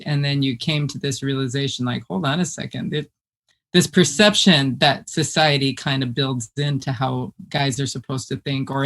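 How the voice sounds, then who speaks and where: An American woman with a deep voice, a podcast guest chatting casually and telling stories with frequent laughter. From United States. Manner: animated storyteller who laughs often. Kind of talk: casual conversation.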